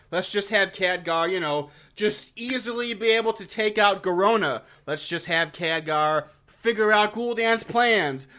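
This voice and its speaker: A man's voice: high-pitched, pushed and bright. A man giving a casual, opinionated game lore review, blunt and exasperated, with slangy swearing and mocking asides.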